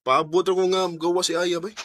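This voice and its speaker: An actor playing a shy, lovestruck young male suitor, halting and stammering in radio drama style. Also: high voice, little pitch movement, fairly bright tone, slight background noise. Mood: smitten. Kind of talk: Bisaya radio drama acting.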